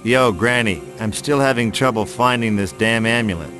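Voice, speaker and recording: A brash American tough guy acted by a man with a medium-pitched voice and a deadpan, wisecracking delivery. He is a sarcastic, reluctant video game hero, with faint music behind him. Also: normal speed, natural voice. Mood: sarcastic, irritated.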